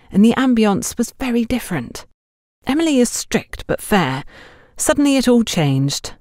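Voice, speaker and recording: A woman's voice: fairly low pitch, darkish tone, no echo, studio quality. A woman voicing a chatty, worldly young shop girl who confides gossip with dramatic flair.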